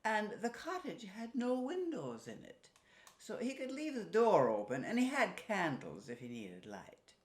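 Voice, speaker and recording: A woman telling a folk tale in a theatrical, folksy way with a put-on Irish accent and dramatic pauses. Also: mid-range voice, wildly swooping pitch, darkish tone. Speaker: storyteller. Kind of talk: oral folk storytelling to viewers. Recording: roomy, echoing sound.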